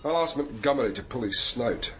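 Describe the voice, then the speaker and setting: An actor playing a brisk, courteous senior police detective, in naturalistic radio drama. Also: male voice, mid-range voice, animated, fairly bright tone, faint music behind, lightly acted. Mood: businesslike.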